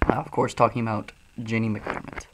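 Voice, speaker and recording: A man from Illinois with a Midwestern accent, loosely and profanely talking through chat comments on a livestream while high. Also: little pitch movement, a little fast, heavy background noise. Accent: Midwestern American. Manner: loose, profane, frequent ums and uhs. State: high, coughing from smoking. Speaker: streamer reading chat.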